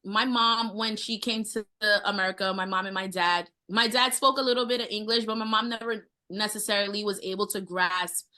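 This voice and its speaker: A woman's voice: mid-range voice, steady tone, fairly quick, few pauses, sharp tone. A woman in her thirties from Brooklyn with a New York accent, a chef guest talking earnestly and at length, often repeating herself. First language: Haitian Creole. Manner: earnest, rambling, repeats phrases. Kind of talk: casual interview talk.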